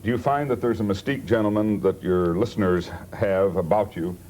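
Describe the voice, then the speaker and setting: Deep-voiced American man hosting late-night talk. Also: brisk, a little mellow. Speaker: talk show host. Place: TV studio. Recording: noisy 1970s TV studio tape.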